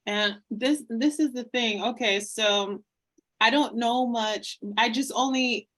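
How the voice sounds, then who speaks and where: A woman's voice: fairly high pitch, takes it slowly, a bit bright. A woman hosts a casual interview, asking long, rambling questions full of little restarts. She is self-deprecating and laughs often as she talks. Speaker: podcast host.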